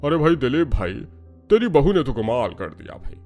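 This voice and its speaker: A man's voice: mid-range voice, very animated, moderate pace, darkish tone, faint music behind, natural voice. Man acting a hearty, swooping village headman. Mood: jovial, proud. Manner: folksy, exclaiming, addresses everyone as brother. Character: hearty older village headman, folksy and decisive. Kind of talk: Hindi cartoon dialogue acting.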